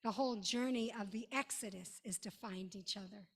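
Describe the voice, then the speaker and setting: A woman rabbi giving a sermon in an emphatic manner, with dramatic pauses and short repeated phrases. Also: medium pitch, a bit bright, slightly rough. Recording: long reverberant hall.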